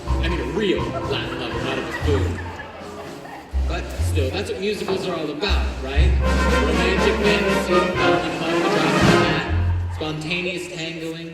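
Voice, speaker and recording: A man's voice: on the high side, normal speed, over loud music, natural voice. A teen boy playing a wry musical fan. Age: teens. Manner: wry, chatty asides to the audience. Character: wry lonely musical-loving male narrator. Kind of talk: stage narration. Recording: echoey hall from far off. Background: audience and band.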